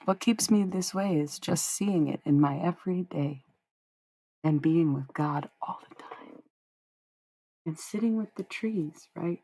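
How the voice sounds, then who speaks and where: Young woman streamer w/ a low gravelly voice, rambly and dreamy, swears a lot. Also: a little slow, warm tone, rough recording. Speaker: livestreamer. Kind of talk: casual livestream chat with viewers.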